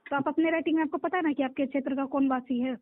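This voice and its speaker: A woman's voice: sits high, fairly even intonation, racing. A woman official with a Bihari accent, insistent and directive, speaking on a recorded phone call. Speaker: block-level government official. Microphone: phone line.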